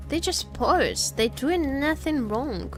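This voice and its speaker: A woman's voice: sits high, expressive, slow pace, low-quality recording. A woman streaming a story game with casual, playful, teasing live commentary over game music.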